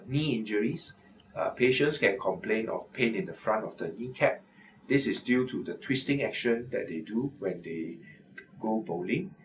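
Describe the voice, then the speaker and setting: A middle-aged man, an orthopaedic surgeon, explaining to camera in a measured way with frequent filler pauses, in a medical office. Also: fairly low pitch, far from the mic, low-quality recording. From Singapore.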